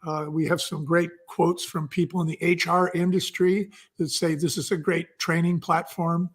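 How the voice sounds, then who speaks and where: A man's voice: fairly high pitch, flat delivery, normal speed, breathy edge. A man talking casually as an entrepreneur guest in an interview.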